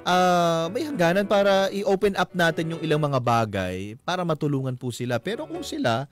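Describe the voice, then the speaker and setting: A Filipino man gives casual advice as a radio host. His voice sits a little high and moves in lively swings, in a conversational manner full of checking questions. Soft music runs faintly underneath. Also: moderate pace. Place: radio studio.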